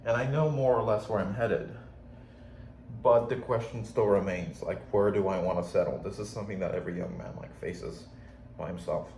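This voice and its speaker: A man's voice: lowish voice, normal speed, darkish tone, a little hiss or hum. Young man with slight Polish accent. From Poland. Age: young adult. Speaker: vlogger. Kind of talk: casual video journal monologue. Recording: echoey room, faint ambience.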